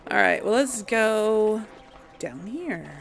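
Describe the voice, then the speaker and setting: A woman's voice: mid-range voice, lively intonation, slow pace, gravelly. A woman doing casual game commentary, musing aloud to herself and her audience. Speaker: let's play host. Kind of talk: casual game commentary with some role play.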